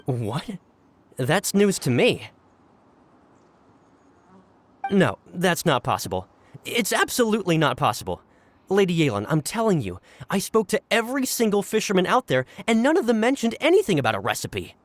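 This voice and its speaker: A man's voice: high-pitched, wildly swooping pitch, a little fast, slight background noise. A man voicing an earnest, deferential subordinate in a video game, reporting to his superior with emphatic insistence. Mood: defensive, insistent. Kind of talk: scripted game dialogue.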